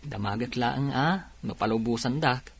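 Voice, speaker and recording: An earnest young-son type of policeman in a radio drama, speaking with an Ilocano accent. His mid-pitched voice is slightly husky and devoted in tone. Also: measured pace. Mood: reassuring, earnest.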